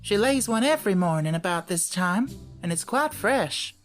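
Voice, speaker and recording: A man's voice: high-pitched, lively intonation, normal speed, fairly bright tone, soft background music, pitched above the performer's own voice. A man voicing a matter-of-fact, plain-spoken young girl in an audiobook. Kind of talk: audiobook character voice.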